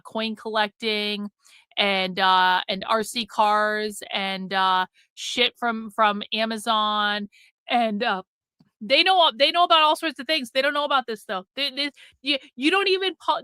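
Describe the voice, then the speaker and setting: A woman's voice: a little high, pushed and bright, smooth, no echo. A woman hosting a livestream in casual, rambling talk, blunt and sweary, often repeating phrases for emphasis. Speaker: livestream host.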